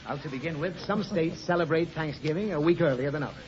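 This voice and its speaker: A man's voice: fairly high pitch, fast, close to an everyday voice. An actor playing a long-suffering father, pedantic and flustered, in old radio comedy. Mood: patient explaining. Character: exasperated, long-suffering father explaining things to his daughter. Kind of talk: 1940s radio comedy acting. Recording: muffled noisy old radio recording, studio audience.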